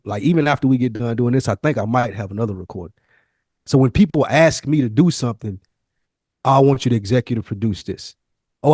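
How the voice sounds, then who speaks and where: A man with a mid-pitched voice, recorded dry with no room sound. He talks casually as a podcast co-host, reflective and candid, telling stories in slangy, sometimes profane language. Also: a little mellow, no room sound.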